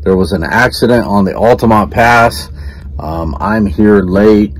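A man's voice: lowish voice, a little slow, slightly rough. A middle-aged man with an American accent talks casually to camera in a plain, repetitive way, explaining things as a vlogger. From California, United States. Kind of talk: casual vlog narration to camera. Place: camper van and parking lots. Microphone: phone at arm's length. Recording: noisy handheld recording with vehicle and outdoor ambience.

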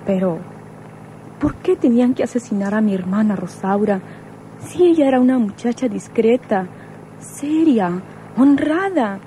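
A woman's voice: fairly high pitch, expressive, a little slow, warm tone, poor audio. An actress playing a mature, strong and serene woman in grief in a radionovela. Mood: grieving. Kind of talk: radionovela acting. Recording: clear vehicle noise behind.

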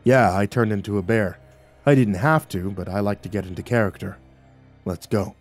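A man voicing a patient, unconcerned shaman, a dryly teasing mystic, with calm, unhurried delivery in an audiobook. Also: on the low side, faint music behind, voice pushed down, close to an everyday voice. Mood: apologetic, amused. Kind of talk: audiobook character dialogue.

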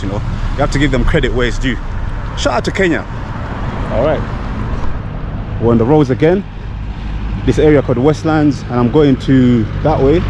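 A young man with a London accent, talking casually to camera as a travel vlogger walking city streets. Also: medium pitch. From London, England. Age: young adult. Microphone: handheld camera at arm's length. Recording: outdoor street with clear traffic noise. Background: street and car noise.

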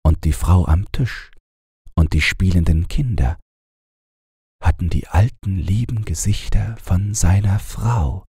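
A male storyteller reads a bedtime fairy tale softly and soothingly. His voice is low, dark and very breathy, and he takes his time. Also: no echo, studio quality. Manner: gentle, soothing, measured. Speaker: audiobook narrator.

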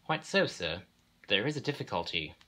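A man's voice: on the low side, big pitch swings, moderate pace, sharp tone. A man voicing a polite, hesitant railway inspector in a storybook, formal and apologetic. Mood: deferential.

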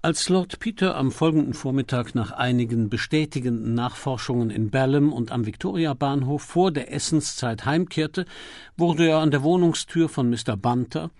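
A man narrating an audiobook, reading scripted literary prose in a measured, formal way. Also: mid-range voice, darkish tone, no room sound, studio quality.

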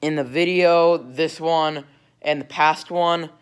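A man's voice: on the high side, steady tone, slow pace, clean tone. Stuffy teen boy rambling to camera. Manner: rambling, lots of fillers. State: stuffy from a cold. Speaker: youtuber. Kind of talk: casual vlog outro. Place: indoor living room at night. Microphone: phone held low at arm's length.

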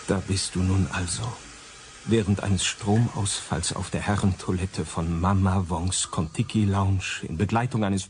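An actor as a wry, deadpan narrator delivering scripted radio crime drama narration. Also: male voice, sits low, a little hiss or hum. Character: wry, brooding male crime-story narrator. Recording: music behind the voice.

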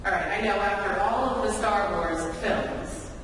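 A woman in her thirties preaching a sermon from a church pulpit in measured, deliberate phrases with rhetorical repetition. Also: mid-range voice, fairly bright tone. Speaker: pastor. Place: large church sanctuary, at the pulpit. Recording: echoey church hall, distant mic, background noise.

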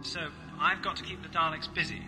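A man's voice: on the high side, level tone, fairly quick, music in the background, close to an everyday voice, low-quality recording. A man playing a principled, inquisitive time traveller in a science-fiction audio drama, questioning and moralizing.

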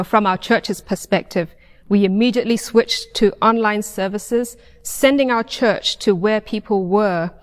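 Female pastor, American, middle-aged, mid-range voice, deliberate sermon delivery, long church reverb. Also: mid-range voice. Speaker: pastor preaching. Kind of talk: sermon, read from notes. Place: pulpit in a stone church sanctuary. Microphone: lapel mic.

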